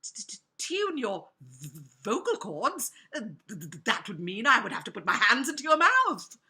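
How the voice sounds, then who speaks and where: A woman's voice: lowish voice, lively intonation, normal speed, a bit bright. A woman voicing a timid male piano tuner in a storybook tale, stammering and hesitant with nerves. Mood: frightened.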